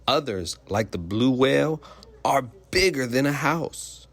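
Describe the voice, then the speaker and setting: Slow-paced man reading aloud to children. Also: mid-range voice, animated, gravelly, fairly bright tone. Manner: simple, explanatory, addressing children with questions. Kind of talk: children's picture book read-aloud with simple asides.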